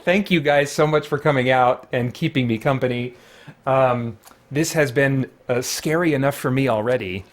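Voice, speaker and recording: A man's voice: mid-range voice, normal speed. A man hosting a live stream with casual, rambling, self-deprecating chat.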